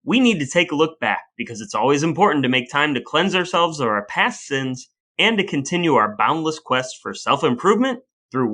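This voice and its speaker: Male podcast host, bright projected tone, slightly high. Wry, mock-formal delivery for scripted intros and banter. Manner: wry, playfully formal and wordy.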